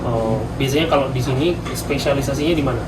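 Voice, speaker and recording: A man's voice: medium pitch, fast talker, distant mic. A man interviews kitchen staff in a casual, keen way and keeps affirming their answers. He stands back from the mic in a roomy kitchen. Heavy background noise fills the recording. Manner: eager, frequent affirmations. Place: restaurant kitchen.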